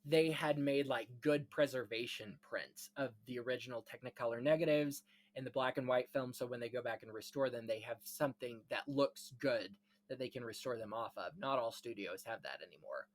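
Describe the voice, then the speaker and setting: A man's voice: mid-range voice, brisk. A man hosting a casual discussion of film history, explaining things in rambling, enthusiastic detail. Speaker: podcast host.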